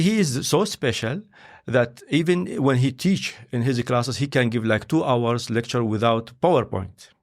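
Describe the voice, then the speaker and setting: A man who is a scientist and filmmaker, speaking English as a second language in a halting way, often pausing to search for words. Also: medium pitch, gravelly. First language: not English. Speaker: interview guest, scientist and filmmaker. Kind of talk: conversational interview.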